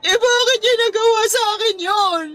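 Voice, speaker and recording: A woman's voice: very high voice, normal speed, crowd noise. A woman acting a young, heartbroken and yielding girlfriend in a Tagalog radio drama, with a plaintive, emotional delivery. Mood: hurt, tearful.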